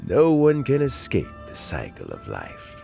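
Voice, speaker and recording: An actor playing an elderly, kindly mentor official in a video game, speaking in a gentle, reflective and deliberate way. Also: male voice, on the low side, huge pitch swings, creaky, faint music behind, full character voice. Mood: nostalgic, wistful. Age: older. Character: elderly kindly mentor official nearing retirement, fond of fishing. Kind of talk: video game dialogue acting.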